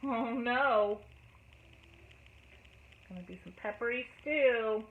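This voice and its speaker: A high-voiced woman teacher reading a picture book aloud, expressive and pausing to ask the children questions. The sound is thin and phone-like, with faint hiss underneath. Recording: tinny phone-like audio, faint noise.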